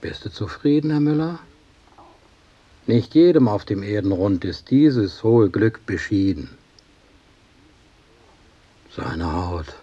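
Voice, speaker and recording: An actor playing a chatty, needling jail trusty in a radio play, coaxing and familiar, with mock tenderness. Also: male voice, fairly low pitch, expressive, slow pace, long pauses, warm tone, breathy edge, lo-fi sound, exaggerated voice. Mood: mock-tender, needling. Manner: coaxing, familiar, talks on unanswered, rhetorical questions. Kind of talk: German radio play acting.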